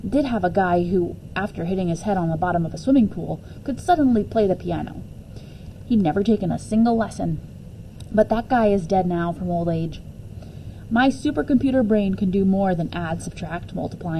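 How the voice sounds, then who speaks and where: A woman's voice: mid-range voice, warm tone, a little hiss or hum, poor audio. A woman reading a children's novel aloud expressively in the first person, as a storyteller. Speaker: teacher reading a book aloud.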